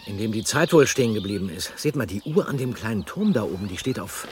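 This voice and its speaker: A man's voice: lowish voice, sing-song, quick pace, noisy background. An actor playing a curious, cautious teenage boy detective in a German audio drama, speaking thoughtfully. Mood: watchful, hesitant.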